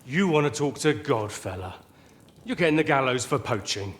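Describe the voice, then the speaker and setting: An actor playing a cruel, taunting jailer in a video game. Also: male voice, mid-range voice, expressive, moderate pace, fairly bright tone, natural voice.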